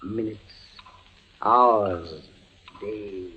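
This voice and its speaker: An actor playing a calm, taunting inquisitor in a radio horror drama, speaking with ominous, deliberate courtesy. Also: male voice, on the low side. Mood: cold, menacing. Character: cold, taunting male inquisitor, calm and sadistic. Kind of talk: old-time radio horror drama acting. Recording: thin, lo-fi vintage radio, faint noise.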